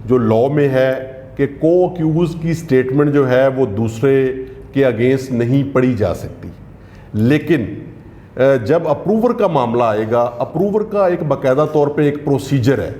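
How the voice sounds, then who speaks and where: A man who practises criminal law, explaining legal procedure in a measured way, often pausing to hesitate. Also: mid-range voice, animated, mellow, roomy sound. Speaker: lawyer answering legal questions.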